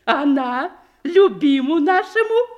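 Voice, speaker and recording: High-pitched, effusive old widow. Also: female voice, expressive, highs cut off. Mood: delighted, scheming. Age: older. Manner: exclamatory, folksy, drawn-out declamation. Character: old thrice-widowed provincial woman, bossy, effusive matchmaking aunt. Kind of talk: theatrical radio comedy acting in verse.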